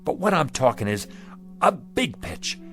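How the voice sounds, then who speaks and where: A man's voice: medium pitch, big pitch swings, a little slow, a bit bright. A humble, down-on-his-luck sidewalk salesman in a radio drama. His folksy, earnest speech rises and falls with a lot of expression, and there is a sly streak in him. Faint music plays underneath. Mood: earnest, wistful. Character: down-on-his-luck sidewalk pitchman, humble, wistful, slyly wily.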